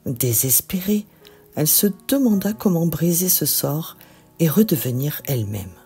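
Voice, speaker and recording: A woman reading a scripted children's bedtime story in an expressive, deliberate narrating style. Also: sits low, slightly rough, faint music behind.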